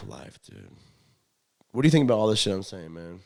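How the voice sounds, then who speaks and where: Low-voiced young man, slangy and profane. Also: normal speed, creaky. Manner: slangy, profane, animated when acting out quotes. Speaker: podcast host. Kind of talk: casual rambling storytelling chat.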